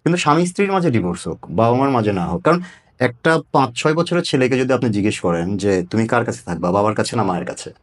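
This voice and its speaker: A man's voice: mid-range voice, lively intonation, rapid-fire. A man with a Bangladeshi accent interviewing someone in a conversational, explanatory way.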